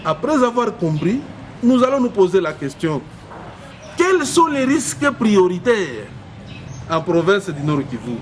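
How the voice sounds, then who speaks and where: High, animated male official. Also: slight background noise. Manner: formal, deliberate. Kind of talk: formal statement.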